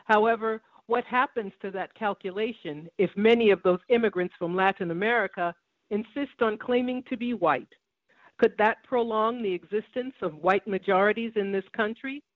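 Male radio host, steady scripted read, high pitch, dry sound. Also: moderate pace, dry recording, phone-quality sound. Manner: steady, announcer-like. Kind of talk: scripted news narration.

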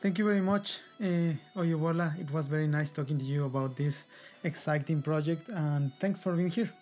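A man hosting a podcast, asking questions and reading a formal scripted outro in a deliberate way. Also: a little high, a little mellow, faint music behind. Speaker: podcast host.

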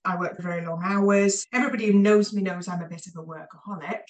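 A woman's voice: on the low side, fast, distant mic. A woman in her forties with a southern English accent, chatting casually and telling her story as a podcast guest. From southern England.

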